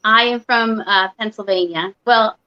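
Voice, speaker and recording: A woman's voice: medium pitch, unhurried, clean tone. A middle-aged woman originally from California with an American accent, chatting casually as a guest over dull call audio. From California and Arizona, US. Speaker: guest on a live show. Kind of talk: casual chat.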